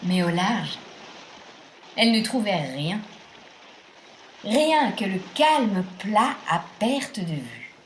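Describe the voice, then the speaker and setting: A woman narrating a scripted children's tale as a storyteller, with theatrical, deliberate phrasing. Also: medium pitch, sing-song, slight background noise. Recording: roomy echo, music behind.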